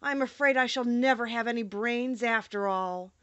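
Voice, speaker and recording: A woman's voice: fairly high pitch, moderate pace, fairly bright tone, no room sound, lo-fi sound, close to an everyday voice. A woman voicing a worried, earnest, self-pitying straw scarecrow in a plaintive way for a storybook. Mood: forlorn.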